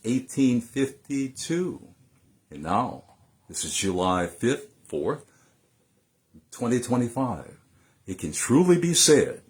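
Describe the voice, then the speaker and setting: An American man delivering an emphatic, theatrical oration, phrasing deliberately with dramatic pauses. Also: medium pitch. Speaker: political analyst giving a dramatic speech reading. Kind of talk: oratory and dramatic reading of a historic speech.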